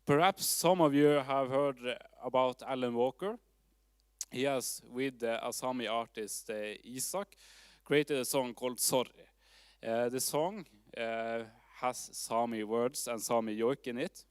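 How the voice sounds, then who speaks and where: A man with a Norwegian accent gives a presentation, his voice sitting at a medium pitch. The tone is smooth and resonant, recorded close and dry. He speaks in a measured way, with fillers and restarts along the way. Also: dry recording. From Norway. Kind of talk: presentation talk. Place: town hall forum.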